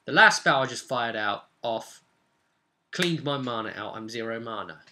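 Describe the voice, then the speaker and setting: Bright-voiced man recapping a tabletop game. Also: medium pitch, normal speed, slight background noise. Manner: animated storyteller, repeats words for emphasis. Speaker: game master and vidcast host. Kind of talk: casual recap of a tabletop role-play session.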